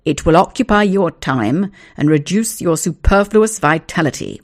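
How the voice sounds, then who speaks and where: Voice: medium pitch, expressive, normal speed. A reader voicing a pompous, stern middle-aged father who lectures his son with dry sarcasm. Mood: stern lecturing.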